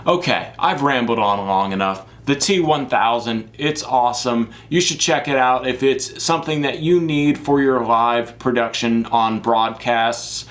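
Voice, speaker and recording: A man with a mid-pitched voice presents a product review to camera. He is chatty and informal, leaning on certain words for emphasis and dropping in casual asides as he explains the equipment. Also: unhurried, hardly any pauses, fairly bright tone. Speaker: product reviewer, live sports production crew. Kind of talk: casual semi-scripted gear review to camera.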